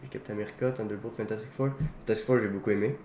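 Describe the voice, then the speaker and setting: A man's voice: lowish voice, fairly even intonation, rapid-fire, darkish tone. A man co-hosting a casual talk show about films. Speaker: co-host of a film talk show. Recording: roomy echo, faint background noise.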